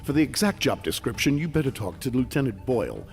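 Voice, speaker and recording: An actor playing a brisk, earnest military security commander in video game cutscene dialogue. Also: male voice, mid-range voice, very animated, a little mellow, soft background music. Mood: serious briefing. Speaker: security chief officer.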